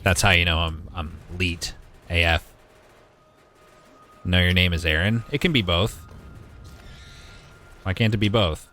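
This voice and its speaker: A man in his twenties with a deep voice gives casual, wry live gaming commentary and talks back to his chat. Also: a little slow, pauses often, bright, forceful tone, faint music behind. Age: 20s. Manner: wry, joking, mild swearing, talks to chat. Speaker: game streamer.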